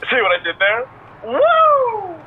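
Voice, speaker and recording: A man's voice: very high-pitched, wildly swooping pitch, takes it slowly, phone-quality sound, full character voice. An actor playing a goofy, boastful male podcast co-host in a video game, joking and teasing, with band-limited sound. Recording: thin band-limited sound, faint noise.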